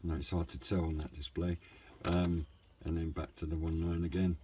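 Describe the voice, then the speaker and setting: Low-voiced man in a casual review. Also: little pitch movement, moderate pace. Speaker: hobbyist radio reviewer. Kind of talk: casual, unscripted product review. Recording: clear background noise, room ambience.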